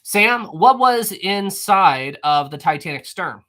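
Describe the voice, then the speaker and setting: A man hosting a casual live stream, answering viewer messages in an animated, rambling way with frequent fillers, restarts and laughs. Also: high-pitched, slow pace, a little hiss or hum. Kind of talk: casual live-stream chat reading super chats.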